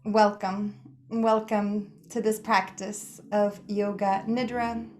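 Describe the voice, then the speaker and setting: A woman with a medium-pitched voice guides a relaxation practice. She speaks slowly and soothingly, setting down short phrases one at a time. Pauses sit between them, giving the narration an unhurried feel. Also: level tone, a little mellow. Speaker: yoga nidra meditation guide.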